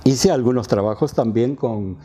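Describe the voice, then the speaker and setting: A man's voice: medium pitch, moderate pace, dark tone, husky. A man in his eighties with an Argentine accent, a native Quechua speaker, recounting his life as an interview guest. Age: 80s. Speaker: interview guest, former radio and TV announcer. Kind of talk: autobiographical storytelling in an interview.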